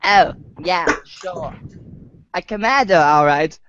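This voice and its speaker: A man's voice: high-pitched, takes it slowly, muffled recording, noisy background. An amateur actor, a man, playing a sassy, slangy teenage boy as a drawling valley girl, in a reader's theater recording. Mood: smug.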